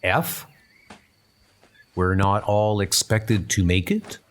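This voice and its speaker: An earnest young recruit in a spy comic drama, acted out by a man. He speaks politely and without hurry, with a lively rise and fall. He stays cool-headed and respectful. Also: lowish voice. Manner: polite, measured, questioning.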